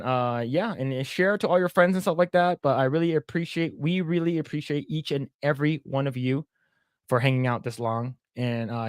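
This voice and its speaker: A man's voice: medium pitch, no echo, clean studio sound. A young man co-hosting a podcast, chatting in a rambling, blunt way with casual swearing. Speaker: podcast co-host. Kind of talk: casual chat.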